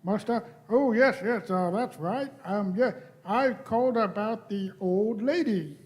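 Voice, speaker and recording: A man's voice: high-pitched, measured pace, a little mellow. A man playing a flustered young impostor bluffing as a police officer in a radio play, politely stammering. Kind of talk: live radio-play acting.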